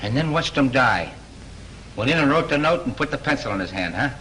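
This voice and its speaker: An actor playing a dim but good-natured studio police chief who talks in folksy slang, in 1930s film style. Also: male voice, medium pitch, lively intonation, sharp tone, rough recording. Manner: slow-witted, folksy slang. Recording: hissy old 1930s film soundtrack.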